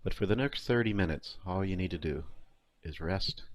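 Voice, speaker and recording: A man leading a guided meditation as a coach, speaking in a calm, soothing, unhurried way with pauses between phrases. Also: low voice, dry recording.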